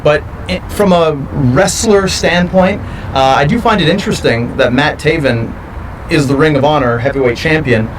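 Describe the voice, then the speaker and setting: A man's voice: a little high, moderate pace, noisy background. Boastful man, distant mic. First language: not French. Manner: confident, boastful. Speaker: professional wrestler. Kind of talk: interview answers.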